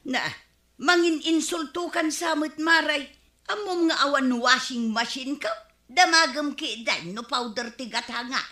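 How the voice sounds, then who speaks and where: Bright, piercing scheming village woman. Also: high voice, unhurried. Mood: indignant. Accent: Ilocano. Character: scheming, envious village woman, quarrelsome and boastful. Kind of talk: Filipino comedy radio drama acting. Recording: roomy, echoing studio sound.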